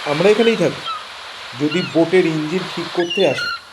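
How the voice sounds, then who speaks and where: A man's voice: high voice, expressive, moderate pace, noisy background. An actor playing a stranded young man who speaks politely and warily in a radio drama. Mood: wary.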